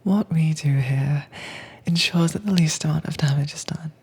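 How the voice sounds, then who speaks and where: A woman performing a whispered roleplay as a calm, commanding agent who keeps a werewolf caged, speaking in a breathy, teasing manner close to the mic. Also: low-pitched. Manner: cool, teasing, unhurried. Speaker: audio drama voice actress. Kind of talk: soft-spoken whispered roleplay audio.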